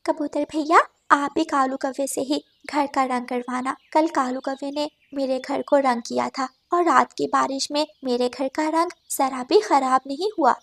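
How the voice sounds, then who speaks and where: A woman's voice: high voice, fairly even intonation, unhurried, creaky, hardly any pauses. A woman voicing a cheerful, trusting mother sparrow in a children's cartoon, kindly and reassuring. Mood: friendly. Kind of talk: Hindi children's cartoon dubbing.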